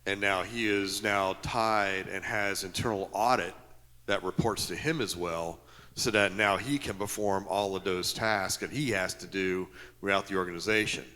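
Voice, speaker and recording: A man in the audience, a compliance officer, asking a question in a loud, direct way with hesitant fillers. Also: low voice, fairly even intonation, moderate pace. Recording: echoey conference hall.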